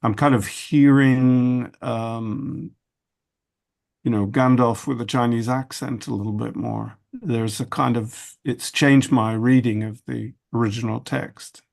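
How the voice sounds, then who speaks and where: A man speaking as an author on a roundtable panel, halting and thoughtful with many fillers and restarts. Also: medium pitch, fairly even intonation, creaky, darkish tone. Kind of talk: academic roundtable discussion.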